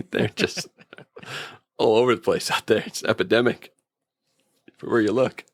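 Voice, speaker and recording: A man's voice: on the low side, slow pace, dry recording, studio quality. A man co-hosting a casual review podcast, telling things in a wry, joking, anecdotal way. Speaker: podcast co-host. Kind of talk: casual humorous review chat.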